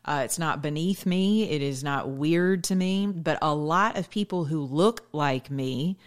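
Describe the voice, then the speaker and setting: A woman's voice: sits low, a little slow. An American woman hosting a political talk show in a conversational style.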